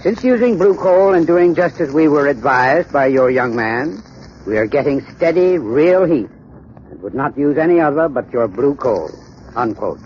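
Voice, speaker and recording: Expressive man giving old radio sponsor talk. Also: on the high side, normal speed. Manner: formal, courteous, read from a script. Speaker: sponsor's heating expert. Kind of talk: 1930s radio advertising talk. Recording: dull, hissy 1930s radio recording.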